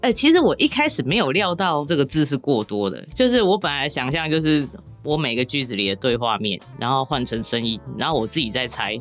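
A woman's voice: extremely low pitch, big pitch swings, brisk, faint music behind. A Taiwanese woman chatting casually as a podcast guest, talking in a chatty, self-deprecating way. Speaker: podcast guest, novel author. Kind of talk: casual chat.

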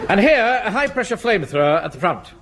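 A man's voice: high-pitched, very animated, fast talker, a bit bright, full character voice. A man playing a pompous middle-aged English Home Guard captain, officious and clipped, in a British radio sitcom. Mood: officious, brisk. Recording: noisy old radio comedy recording.

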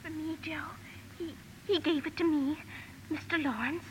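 A woman's voice: high-pitched, steady tone, normal speed, slight background noise, natural voice, poor audio. A woman acting a shy, sweet young girl in a 1950s radio drama, speaking in a hesitant, tremulous way. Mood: timid, moved. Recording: vintage radio recording with music.

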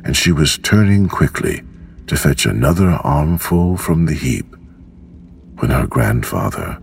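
A man reading a bedtime storybook in a gentle, measured, unhurried way. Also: extremely low pitch, lively intonation, vocal fry, a little hiss or hum. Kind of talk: slow scripted storybook narration for sleep.

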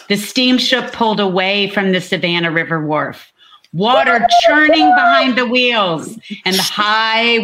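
A woman's voice: medium pitch, sharp tone, rough recording. A woman narrating a dramatic historical story as an amateur scripted reading.

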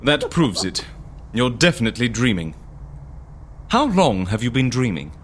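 An actor playing an eccentric, playful man in charge in an audio comedy drama, animated and whimsical. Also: medium pitch, slight background noise, natural voice. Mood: brisk. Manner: animated, whimsical, quick to switch tone.

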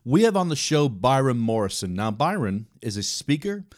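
A man's voice: mid-range voice, very animated, moderate pace, no room sound, clean studio sound. A man hosting his own podcast, reading a scripted intro and asking interview questions in an upbeat, announcer-like way. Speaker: podcast host.

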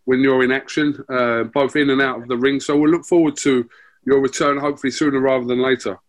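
A man's voice: medium pitch, steady tone, a little fast. Easygoing male interviewer. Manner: polite, easygoing, wraps things up. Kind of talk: casual interview.

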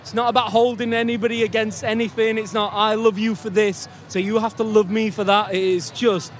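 A man's voice: sits high, flat delivery, a little fast, a little hiss or hum. A man at an outdoor festival giving an earnest, emphatic answer to an interviewer. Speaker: festival goer. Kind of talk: heartfelt vox-pop answer.

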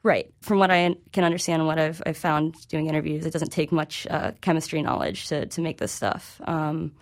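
A woman's voice: sits low, fairly even intonation, a little fast. A woman reporter speaking as an interview guest, explaining informally in a hesitant, conversational way.

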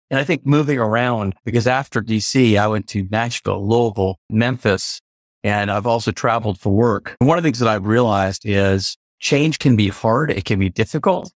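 A man with a low voice answers interview questions in an earnest, reflective way. He stops now and then to clarify what he means. His voice is recorded dry and close, with little room sound. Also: dry recording. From East Coast of the United States. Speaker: podcast guest, culture consultant. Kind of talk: casual interview conversation.

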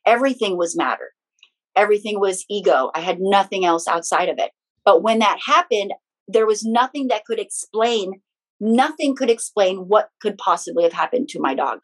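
A woman's voice: medium pitch, lively intonation, moderate pace. Chatty woman telling her story. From Philippines. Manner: chatty, frank, personal anecdotes with small laughs. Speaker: guest, spiritual teacher and author. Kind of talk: casual conversational storytelling.

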